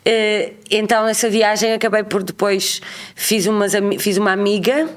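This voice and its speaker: A woman in her thirties from Portugal with a European Portuguese accent, a guest telling travel stories in a chatty, lively way. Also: medium pitch, moderate pace. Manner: chatty, animated, acts out quoted voices. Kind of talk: casual storytelling interview.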